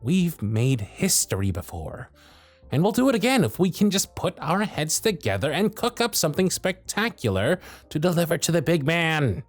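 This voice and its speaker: A man's voice: on the high side, very animated, normal speed, no room sound, faint music behind. A man voicing a harried, grumpy male elf supervisor in an audio roleplay, sarcastic and scolding. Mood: pleading. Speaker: workshop supervisor.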